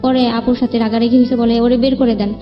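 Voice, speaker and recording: A young Bangladeshi woman recounts her own troubles as a show guest in a plaintive, rambling way. Her voice is fairly high and carries a long reverb. Soft music plays underneath. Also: flat delivery, quick pace, muffled recording. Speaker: guest telling own life story. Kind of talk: personal spoken account. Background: background music.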